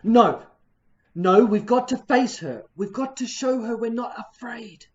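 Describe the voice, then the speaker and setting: A woman's voice: fairly high pitch, unhurried, a little mellow, close to an everyday voice. A woman playing a reassuring, firm, affectionate young husband in an audio play. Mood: alarmed, firm. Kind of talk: amateur audio play acting. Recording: dull, muffled lo-fi.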